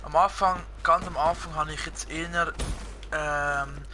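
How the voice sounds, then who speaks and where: A man's voice: a little high, steady tone, unhurried, noisy background. A young man with a Swiss German accent, chatting casually with viewers while he streams a video game, rambling with many fillers. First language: Swiss German and Italian. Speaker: gaming streamer. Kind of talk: casual live-stream chat while gaming.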